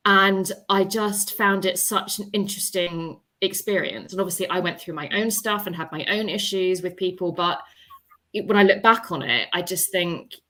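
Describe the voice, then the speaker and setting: A woman author talks on a discussion panel in a slightly low voice. Her style is conversational and reflective, and she unfolds her thoughts at length like someone telling a personal story. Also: a bit bright.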